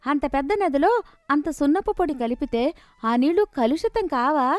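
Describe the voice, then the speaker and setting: A woman's voice: sits high, animated, moderate pace, voice pushed up. A woman voicing a worried village wife who questions her husband's plan in a cartoon story. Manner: questioning, doubtful.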